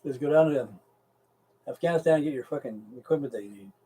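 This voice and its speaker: A man's voice: medium pitch, fast talker, dark tone, breathy edge, distant mic, low-quality recording. A middle-aged or older American man chatting casually and rambling to live stream viewers, with a blunt, folksy, profane way of talking.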